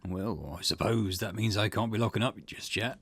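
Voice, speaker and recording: Man playing a low-voiced grumbling school janitor. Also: moderate pace, a bit bright. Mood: paternal. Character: gruff but caring adult male school janitor.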